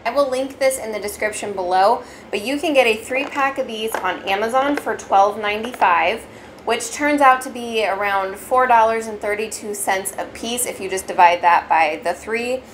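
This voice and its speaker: A woman's voice: fairly high pitch, normal speed, a little hiss or hum. A young adult woman casually reviewing keto snacks at her dining table, chatty and explaining step by step. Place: dining room at home, indoors.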